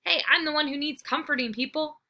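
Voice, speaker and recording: Storybook pup, woman reading: high, eager, whiny, bright, a bit creaky. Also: brisk. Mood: pleading. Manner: excited, pleading, whiny. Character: eager, food-crazy young pet dog.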